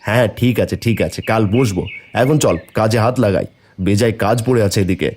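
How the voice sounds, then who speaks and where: A man's voice: on the low side, fairly quick, darkish tone, a little hiss or hum, natural voice. A man voicing an affectionate, hard-pressed village farmer and father in a cartoon story, talking in a fond, coaxing way. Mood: practical, reflective.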